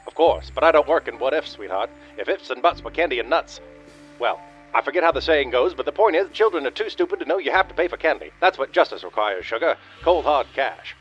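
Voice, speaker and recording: A man's voice: on the high side, expressive, brisk, fairly bright tone. A man playing a cynical, boneheaded private detective in a comic noir radio play, blustering in wordy tough-guy slang. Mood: cocky, defensive. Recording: dull radio-style sound with faint music.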